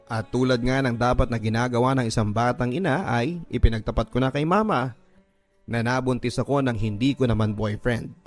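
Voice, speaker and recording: A man with a Filipino accent reads a listener's story as an emotive, scripted first-person radio drama narration. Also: medium pitch. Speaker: radio drama host reading a listener's letter. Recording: music bed behind the voice. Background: music.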